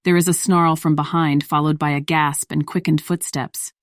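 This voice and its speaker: A woman's voice: sits low, little pitch movement, normal speed, no room sound, studio quality. A woman reading scripted story narration in the role of narrator.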